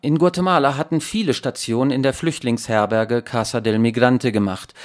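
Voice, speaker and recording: A man working as a radio reporter, narrating a scripted report in a measured, emphatic way. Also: medium pitch, steady tone, noisy background. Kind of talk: scripted news report narration with translated voice-overs.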